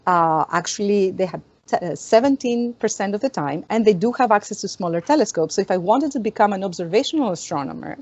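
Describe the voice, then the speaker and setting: A woman from Greece, an astronomer answering interview questions in an animated, storytelling way, often laughing. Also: medium pitch, fast talker, no echo, dull audio. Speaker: astronomer, guest. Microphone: video call.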